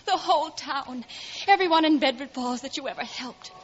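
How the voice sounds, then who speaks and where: An American actress playing a devoted, anxious, tender wife in 1940s radio drama style. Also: female voice, high-pitched, moderate pace, lightly acted. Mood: tender, relieved. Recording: muffled 1940s radio recording, faint noise.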